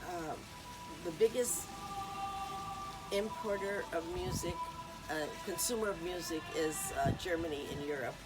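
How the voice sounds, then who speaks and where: A woman's voice: lowish voice, a little slow, rough recording. A woman hosting an informal talk on music history, rambling and chatty with many ums and uhs. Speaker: host.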